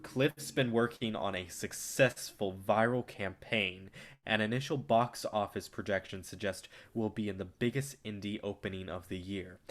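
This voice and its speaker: An actor in a staged reading playing a domineering, cynical film distributor with a condescending, lecturing manner. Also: male voice, lowish voice, lightly acted. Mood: assured. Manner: condescending, rapid-fire lecturing.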